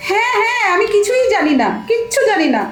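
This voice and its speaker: Woman playing an exasperated, very high-voiced youngster. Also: lively intonation, fast talker, roomy sound, a little hiss or hum. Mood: fed up. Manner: exasperated, protesting. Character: exasperated, sharp-tongued younger bank customer pestered for help. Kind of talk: comic audio drama acting.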